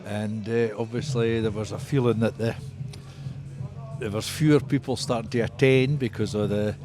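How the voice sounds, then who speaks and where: A man's voice: on the low side, fairly even intonation, normal speed. An older man with a Scottish Borders accent, speaking as a rugby club historian in a conversational interview, recounting history.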